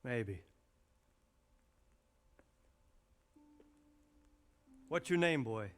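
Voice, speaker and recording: A man's voice: mid-range voice, expressive, moderate pace, fairly bright tone, faint music behind, natural voice. A man acting a courteous, commanding castle lord in a dramatic game cutscene, grave and concerned.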